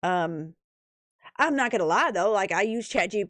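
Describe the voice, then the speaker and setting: A woman's voice: medium pitch, expressive, normal speed, fairly bright tone, dry recording. A woman hosting a livestream, chatting casually and rambling to viewers, emphatic and often checking in with short tag questions. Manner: emphatic, often tags points with "okay?" and "right?". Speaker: YouTube livestream host.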